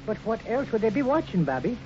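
A man's voice: sits high, big pitch swings, fast. A man playing a good-natured cowboy sidekick in a 1950s radio western. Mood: puzzled, rueful. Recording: muffled old radio recording, faint noise.

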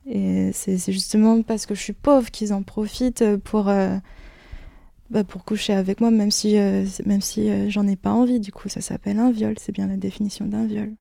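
A woman in her twenties speaks in a mellow, gravelly voice with a flat, even delivery. She talks hesitantly, with many fillers and restarts. The recording is close and dry. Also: mid-range voice, moderate pace, no room sound. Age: 20s. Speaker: interviewee giving a personal testimony. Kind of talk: candid personal account.

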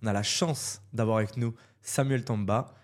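Low-voiced podcast host, loose and chatty. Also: male voice, moderate pace. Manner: relaxed, chatty, stumbling restarts. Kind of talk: casual interview hosting.

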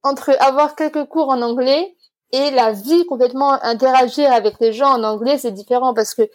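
A woman's voice: sits high, moderate pace, darkish tone. A woman in her twenties answering as a guest in a casual interview, chatty and explanatory, given to easy laughter. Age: 20s.

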